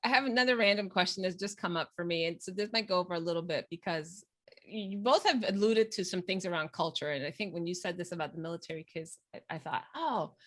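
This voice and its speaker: A woman's voice: fairly low pitch, fast, a bit bright. A woman hosting an interview, asking questions and thinking aloud as she goes. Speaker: host.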